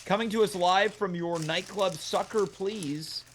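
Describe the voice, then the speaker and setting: A man's voice: high-pitched, unhurried, a bit bright, slight background noise. A man hosting a live online show, talking like an announcer with theatrical emphasis. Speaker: host.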